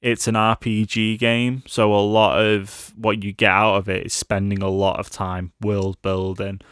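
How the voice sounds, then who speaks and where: A man's voice: fairly low pitch, flat delivery, measured pace, a bit bright, clear, resonant tone, no echo. A British man co-hosting a podcast, chatting casually and informally about films. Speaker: podcast co-host. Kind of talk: casual film review chat.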